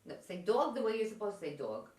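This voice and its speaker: A woman's voice: medium pitch, sing-song, fast talker, a little mellow, reverberant, distant mic. A woman with a New York accent, a guest on a podcast, talking bluntly and teasing in casual chat. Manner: blunt, teasing, matter-of-fact. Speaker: podcast guest, energy healer.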